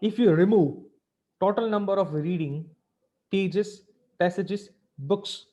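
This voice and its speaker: A man's voice: high-pitched, slow, mellow. A man with an Indian accent giving an informal webinar lecture as a teacher, in a didactic way, often checking in with short tag questions. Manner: didactic, repeatedly checking with "right?" and "fine". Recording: dull lo-fi webinar audio.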